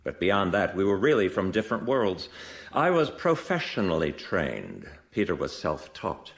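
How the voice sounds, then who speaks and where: An actor playing a haughty, formally spoken stage actor in a radio mystery, with precise and theatrical diction. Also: male voice, low voice, very animated, moderate pace. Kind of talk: radio mystery drama acting.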